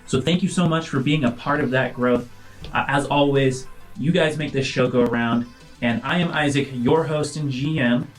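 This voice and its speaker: A man's voice: medium pitch, normal speed. Chatty man hosting over faint music. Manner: chatty, informal, addressing listeners directly. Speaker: host and game master. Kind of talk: casual podcast talk and tabletop game narration.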